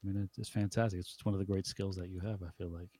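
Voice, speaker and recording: A man with a lowish, mellow voice talks through a relaxed conversation. He muses thoughtfully and keeps murmuring agreement as others speak. The sound is dry and close. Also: rapid-fire, husky, dry recording. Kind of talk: casual chat about hip hop.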